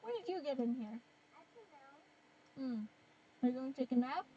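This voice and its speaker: A woman streaming a game with casual commentary, reacting and rambling in a halting way. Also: sits high, lively intonation, warm tone, muffled recording, a little hiss or hum.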